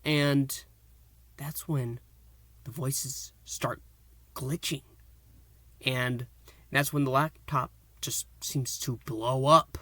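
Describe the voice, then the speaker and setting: A man's voice: medium pitch, steady tone, very slow, pauses often, fairly bright tone, no room sound. A man hosting a review show, recapping and reviewing in a casual, earnest, enthusiastic fan style. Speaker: host of an audio drama review show.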